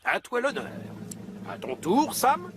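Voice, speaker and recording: An actor playing a jolly, sentimental older fire chief in a French cartoon dub, with animated, theatrical delivery. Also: male voice, very high-pitched, takes it slowly, background noise, heavily acted. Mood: kindly authority. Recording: music behind the voice.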